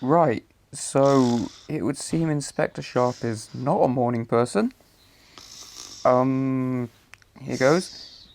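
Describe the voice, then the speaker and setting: A man's voice: medium pitch, slow pace, creaky, darkish tone, slight background noise. A man playing the pompous ghost of a murdered novelist, dithering and self-important, in an audio drama. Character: pompous dead male novelist, now a ghost.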